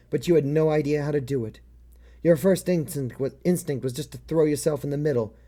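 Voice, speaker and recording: A man's voice: on the high side, mellow, close to an everyday voice. A man voicing a world-weary, fatalistic private detective who narrates a noir story in a brooding, dramatic way.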